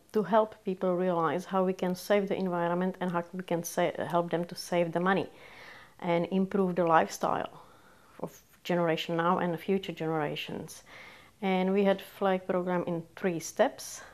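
A woman's voice: lowish voice, a little mellow. A woman in her sixties from the Czech Republic, with a Czech accent, earnestly explaining her volunteer work in a sit-down interview in a small office with bookshelves. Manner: earnest, non-native phrasing, keen. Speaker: community volunteer.

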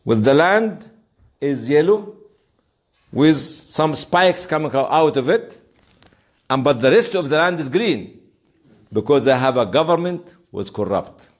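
Animated man lecturing a class. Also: on the high side, a little slow, pauses often. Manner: emphatic storytelling with rhetorical questions. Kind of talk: informal interactive classroom lecture.

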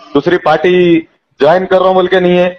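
Hyderabadi guy ranting on a recorded phone call, high voice, indignant, muffled sound. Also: flat delivery, quick pace. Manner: indignant, insistent, repeating rhetorical questions. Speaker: local party politician. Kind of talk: heated phone conversation.

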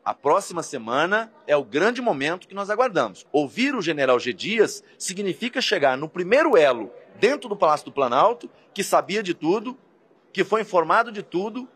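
Husky, lively Brazilian male politician. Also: high voice, normal speed. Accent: Brazilian Portuguese. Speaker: politician at press scrum. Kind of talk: press statement. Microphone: cluster of press microphones.

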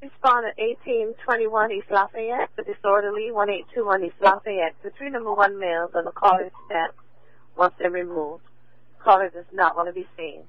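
A woman's voice: mid-range voice, steady tone, moderate pace, dry recording. Woman dispatcher on tinny police radio. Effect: two-way police radio. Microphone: radio dispatch microphone, heard over scanner. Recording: tinny, narrow-band police scanner radio.

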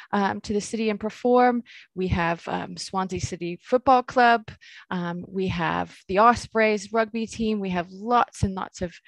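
A friendly middle-aged American woman walks viewers through a university webinar, peppering her talk with fillers and restarts. Her voice sits at an ordinary pitch and comes through dry and close, with the slightly compressed feel of video call audio. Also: dry recording. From United States. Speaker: associate dean presenting a webinar. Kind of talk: webinar presentation. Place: indoors, home or office, on a video call.

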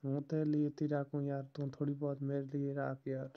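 Caller chatting in a flat, muffled voice. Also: medium pitch, moderate pace. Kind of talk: casual private phone chat. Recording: dull lo-fi phone call recording.

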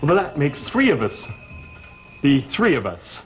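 A man's voice: on the high side, sing-song, a little slow. A young actor playing a mischievous, glib young man in a gothic soap opera, mocking in manner. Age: young adult. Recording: old TV soundtrack, music behind.

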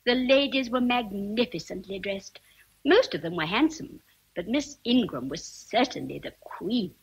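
A woman's voice: medium pitch, animated, a little slow, husky, heavily acted, poor audio. An actress playing a chatty, motherly housekeeper in a radio drama, savoring her words with emphasis. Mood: admiring. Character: gossipy, motherly housekeeper, respectful of rank. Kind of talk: vintage radio drama acting.

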